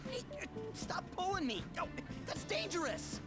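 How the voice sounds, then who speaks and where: Anime game role: an impatient, slangy teen boy, lively swings in pitch, music behind. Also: moderate pace. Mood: excited. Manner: blunt, excitable, slangy. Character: brash, hot-headed teenage boy, slangy and impatient. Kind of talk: anime video game voice acting.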